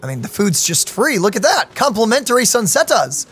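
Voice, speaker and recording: A young adult woman giving chatty, animated casual commentary as a gaming host. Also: medium pitch, a little fast, a little hiss or hum. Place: indoor room.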